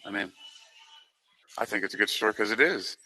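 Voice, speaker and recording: A man's voice: lowish voice, expressive, brisk, bright, forceful tone, husky, low-quality recording. A man chatting casually as a podcast guest, encouraging in manner, with hesitant, stop-and-start phrasing. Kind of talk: casual chat.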